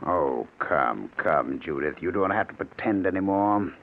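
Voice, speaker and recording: An actor playing a scheming, vengeful husband who feigns madness, with sardonic, theatrical menace in an old-time radio mystery. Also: male voice, deep voice, expressive, measured pace, creaky, heavily acted. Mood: cold menace. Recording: tinny, noisy old radio recording.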